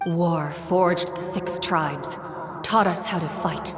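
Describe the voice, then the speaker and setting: Solemn game chronicler; lowish voice, hall reverb, music behind. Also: female voice, slow pace. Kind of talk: English video game voice acting.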